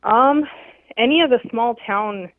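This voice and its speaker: A woman's voice: on the high side, measured pace, no room sound. A woman from North Dakota calls in to a talk show, starting her thoughts with hesitant ums. Her voice comes through a thin, dry phone line. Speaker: caller. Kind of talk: call-in chat.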